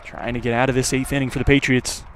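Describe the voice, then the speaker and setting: A man giving live play-by-play baseball commentary for radio, his voice lifting on the action and settling between pitches. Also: level tone, fast talker. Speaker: play-by-play announcer. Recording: clear outdoor ambient noise. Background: outdoor ballpark ambience.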